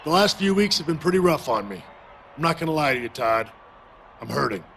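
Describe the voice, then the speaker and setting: A man's voice: a little high, sing-song, fairly quick, creaky, fairly bright tone, a little hiss or hum, full character voice. A man playing a battle-worn, determined veteran hero wrestler in a video game, delivering an earnest, emphatic promo. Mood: weary, resolute.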